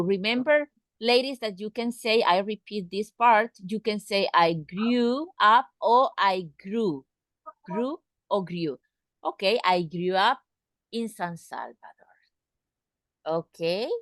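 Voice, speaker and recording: Brief: woman English teacher, slow and patient, repeats words, dry close sound. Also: medium pitch, dry recording. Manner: asks students direct questions. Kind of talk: online English class.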